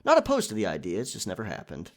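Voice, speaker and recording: A man's voice: lowish voice, wildly swooping pitch, fast, a little hiss or hum. A man hosting a casual question and answer session for his listeners in a conversational, chatty manner. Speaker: audio roleplay creator and channel host.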